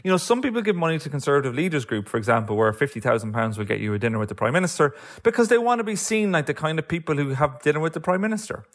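An Irish man, an author being interviewed, talking through political analysis in a discursive way with many filler phrases. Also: fairly high pitch. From Ireland. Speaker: author and guest.

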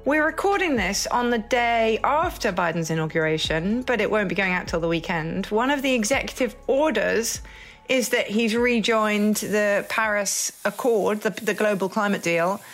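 A woman's voice: mid-range voice, normal speed, soft background music. Chatty, wry woman co-hosting a podcast discussion. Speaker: podcast co-host. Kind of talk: casual discussion.

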